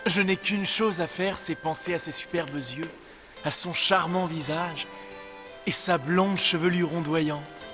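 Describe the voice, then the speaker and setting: Man playing a high-voiced, dreamy young royal. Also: fairly even intonation, a little slow, music in the background, natural voice. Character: dreamy, unsure young male royal bridegroom. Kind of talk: French cartoon dubbing.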